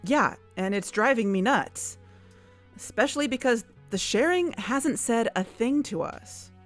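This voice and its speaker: A woman's voice: fairly high pitch, lively intonation, unhurried, soft background music. A woman playing an anxious, cautious and conflicted investigator in a naturalistic audio drama. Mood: frustrated.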